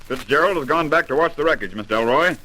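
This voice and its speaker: Gruff, bossy airline manager in noisy old radio drama. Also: male voice, on the high side, lively intonation, fast talker, pushed and bright. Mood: suspicious. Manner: brusque, demanding, blustering. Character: gruff, domineering male airline general manager. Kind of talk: 1930s radio drama acting.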